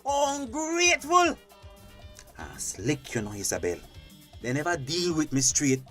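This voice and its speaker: Grumbling male boat boss, Belizean Creole, bright swooping delivery, bossy, light music behind. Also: fairly high pitch, measured pace, heavily acted. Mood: aggrieved. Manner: grumbling, animated. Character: aggrieved, bossy male boat boss, brash sweet-talker. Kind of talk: radio drama acting.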